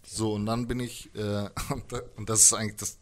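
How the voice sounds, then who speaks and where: Low-voiced man, halting and colloquial. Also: little pitch movement, slight background noise. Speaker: musician, interview guest. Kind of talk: personal interview storytelling. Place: indoors, living room with instruments. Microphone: handheld microphone held close.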